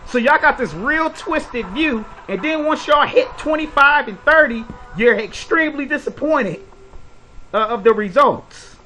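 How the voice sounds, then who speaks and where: High, bright-voiced man lecturing bluntly. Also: expressive, unhurried, slight background noise, rough recording. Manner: preachy, blunt, lecturing with rhetorical questions. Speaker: commentary video host. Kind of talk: opinionated commentary monologue.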